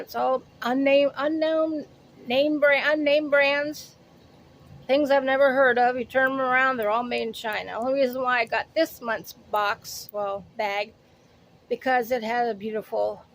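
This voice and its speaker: A woman's voice: high voice, fairly even intonation, normal speed. A woman in her late fifties chatting casually through a shopping haul, rambling and interrupting herself as she goes. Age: late 50s. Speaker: haul vlogger. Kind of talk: casual product haul chat.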